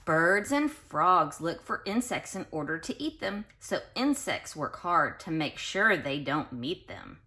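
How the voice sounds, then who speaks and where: A woman with a slightly low voice reads a picture book to young children in a teacherly way. Her intonation swings widely and expressively. She enunciates with care and breaks off for explanations and questions to her listeners. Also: very animated, unhurried, few pauses, pushed and bright. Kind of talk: read-aloud with explanatory asides to children.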